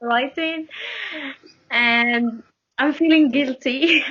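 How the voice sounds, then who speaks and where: A woman's voice: high-pitched, drawn-out words, a bit bright. A young woman from Morocco with a Moroccan accent, a native Arabic speaker, chatting as a guest on a video call and laughing often between thoughts. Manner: laughs often, speaks with many fillers and restarts. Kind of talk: casual video call chat. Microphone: phone camera on video call. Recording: muffled lo-fi video call audio.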